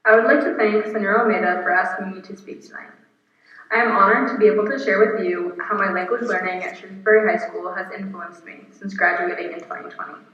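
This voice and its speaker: A woman's voice: medium pitch, steady tone, quick pace, fairly bright tone. Woman reading a speech in an echoey room. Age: 20s. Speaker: guest speaker. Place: apartment room. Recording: echoey, distant, muffled video recording.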